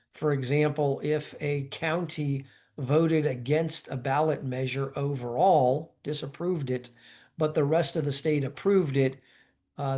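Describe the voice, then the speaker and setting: Higher-pitched man giving a measured lecture. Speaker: lecturer explaining state law. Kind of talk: explanatory lecture, semi-scripted.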